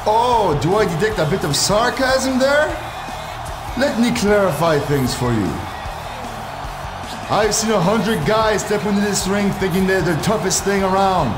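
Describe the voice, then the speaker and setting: A man playing a forceful, menacing pro wrestling showman in a video game, declaiming in an echoey space over music. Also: sits high, expressive, measured pace. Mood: confrontational. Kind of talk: theatrical wrestling promo. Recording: echoey arena sound with music behind.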